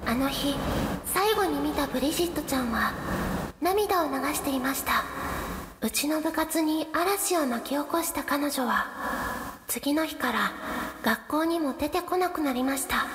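A woman's voice: high-pitched, a bit bright. A woman playing an earnest, timid teenage club captain with a hesitant, pleading manner in a radio drama. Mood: sad, reflective. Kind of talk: anime-style radio drama acting. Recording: noisy background.

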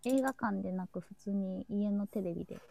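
A woman's voice: mid-range voice, moderate pace, vocal fry, mellow, soft background music. A young woman chatting casually and informally as co-host of a group livestream. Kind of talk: casual chat.

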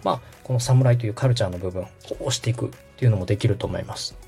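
A man's voice: lowish voice, rapid-fire, creaky, mellow, faint music behind. A man hosting a podcast, interviewing and coaching a guest in a polite, instructive conversational manner. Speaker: podcast host, radio director coaching guest.

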